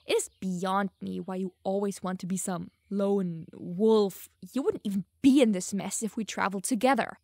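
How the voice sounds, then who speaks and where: A woman's voice: medium pitch, fairly even intonation, moderate pace, gravelly, hardly any pauses, warm tone, no echo, studio quality. A young woman playing a teasing, smug, secretly lovestruck elf mage in an audio roleplay, playful and easily flustered. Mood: playful, defensive. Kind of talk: audio roleplay addressed to the listener.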